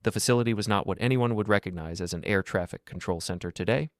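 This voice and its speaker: Man, very low voice, dry close studio sound, measured grave narration. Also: no echo. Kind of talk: scripted documentary narration.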